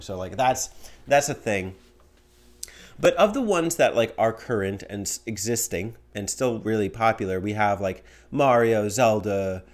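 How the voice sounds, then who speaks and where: A young man hosting a show, answering viewer voicemail in a casual, rambling way with lots of fillers, recorded at home on a studio mic. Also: fairly low pitch. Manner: animated, rambling with fillers, gesturing. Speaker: host. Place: small home room with bookshelf and window. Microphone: studio mic on stand in front.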